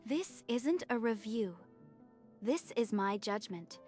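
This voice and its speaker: A woman's voice: on the high side, expressive, slow, darkish tone, soft background music. A woman voicing a proud female dentist who runs a clinic, talking in indignant, anxious inner monologue.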